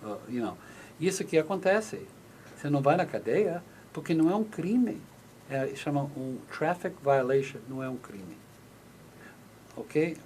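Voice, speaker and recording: A man's voice: on the high side, very animated, measured pace, a little mellow, a little hiss or hum, poor audio. A man, a lawyer on a radio call-in show, explaining patiently and acting out short exchanges, with many restarts. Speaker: lawyer answering listener questions. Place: radio studio in the US.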